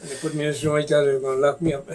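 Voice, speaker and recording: An older man with a Guyanese accent and a slightly high voice. He tells stories from his youth in a relaxed chat with his son and laughs often as he talks. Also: rapid-fire. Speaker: guest, host's father. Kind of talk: storytelling in casual chat.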